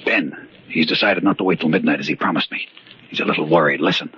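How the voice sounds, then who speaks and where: An actor playing a calm, shrewd gentleman sleuth in an old-time radio mystery, speaking in a measured, polite way. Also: rumbling low. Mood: grim, resigned. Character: calm, shrewd stockbroker and amateur magician, wrongly suspected of murder. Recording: dull, noisy old radio drama recording.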